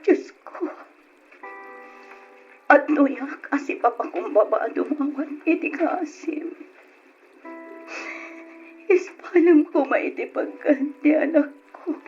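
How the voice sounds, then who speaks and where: A woman's voice: high voice, slow pace, long pauses, darkish tone. An actress in an Ilocano radio drama playing a distraught, pleading mother with a tearful delivery. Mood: pleading prayer. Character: distraught, pleading, prayerful mother of a troubled daughter. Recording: dull radio drama recording over music.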